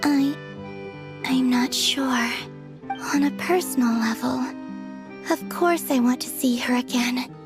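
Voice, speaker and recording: A woman voicing a gentle, dreamy young woman in a video game, soft and airy, speaking in an unhurried, earnest way. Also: high-pitched, over music. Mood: wistful, uncertain.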